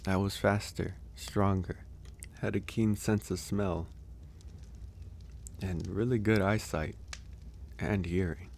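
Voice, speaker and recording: Deep, slow-talking gentle werewolf played by a man. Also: fairly even intonation, gravelly, slight background noise, exaggerated voice. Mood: reflective. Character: gentle male werewolf stranger, reflective, reassuring caretaker. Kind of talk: intimate ASMR audio roleplay.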